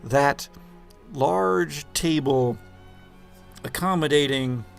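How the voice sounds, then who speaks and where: Slow-paced man narrating a horror game. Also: on the high side, gravelly, soft background music. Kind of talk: improvised scene narration for a tabletop horror game.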